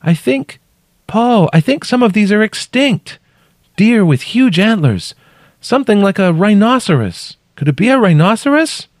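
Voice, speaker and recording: Man voicing a high, dazed trapped woman. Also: very animated, no room sound, studio quality. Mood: awestruck. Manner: calling out from a distance in short halting phrases. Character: trapped injured woman calling up from a cave, awestruck, dazed.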